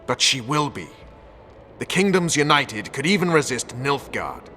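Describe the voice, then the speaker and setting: A man's voice: mid-range voice, very animated, a little slow, bright, forceful tone, faint music behind. A male actor playing a hard, vengeful special forces commander in a video game, speaking in a stern, blunt, resolute manner. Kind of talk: dramatic video game acting.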